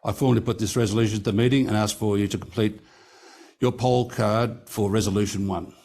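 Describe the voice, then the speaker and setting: A man's voice: lowish voice, fairly even intonation, a little mellow. A man chairing a company meeting, reading out resolutions and vote counts in a formal, careful way and stopping now and then to correct a figure. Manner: reads figures carefully, pausing to correct himself. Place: meeting room.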